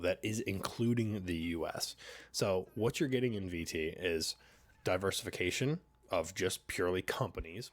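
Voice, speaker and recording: A man with a cold and a nasal voice, hosting a finance channel in a relaxed, chatty way with many fillers. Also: deep voice, dry recording. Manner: relaxed, filler-heavy, conversational. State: congested with a cold. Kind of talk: casual talking-head explainer.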